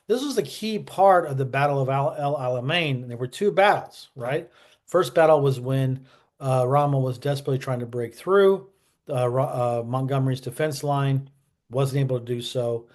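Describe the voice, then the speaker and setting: A man giving casual history commentary as a vlog host, colloquial and opinionated, often wandering into asides. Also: mid-range voice.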